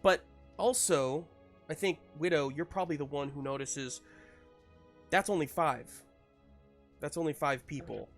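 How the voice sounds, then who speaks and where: A man improvising narration as game master of a tabletop game, piecing scenes together haltingly. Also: a little high, faint music behind. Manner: halting, searching for words in pieces.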